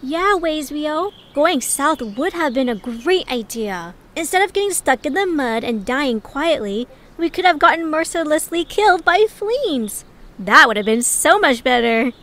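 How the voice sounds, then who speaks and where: A woman's voice: sits high, sing-song, unhurried, fairly bright tone, exaggerated voice. A woman playing an abrasive, sarcastic female adventurer in an audio drama, with a biting manner. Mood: sarcastic, scornful.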